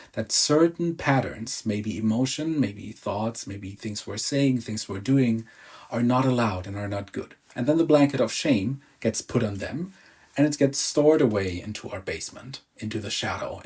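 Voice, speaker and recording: A young adult man with a German accent, a coach speaking in a calm, measured, gently earnest teaching monologue. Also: mid-range voice, husky. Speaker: emotional release coach.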